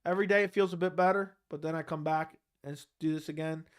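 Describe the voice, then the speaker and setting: Guy hosting a chill livestream, kinda high voice, sore throat, reads chat questions and shoots back blunt answers. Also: steady tone, quick pace, clear, resonant tone.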